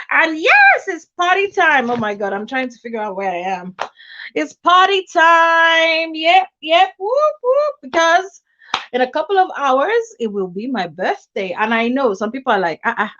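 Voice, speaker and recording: A woman hosting a casual livestream chat, animated and chatty, a little nasal from a cold. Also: sits high, dull audio, a little hiss or hum. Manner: chatty, animated, laughs often. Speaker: host. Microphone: webcam.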